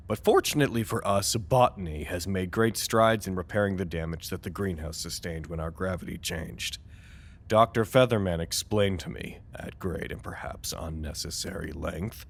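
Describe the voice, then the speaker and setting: An actor playing an anxious, weary site director in an audio drama, dictating reports in a wordy, formal, dryly exasperated manner. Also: male voice, sits low. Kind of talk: audio drama acting, dictated log monologue.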